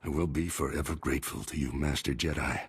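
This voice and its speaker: An actor playing a stern, worried middle-aged army major and father in a video game, gruff and commanding. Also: steady tone, quick pace, heavily acted. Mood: grave, pleading. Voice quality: very deep, gravelly husky voice. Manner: gruff, commanding military delivery.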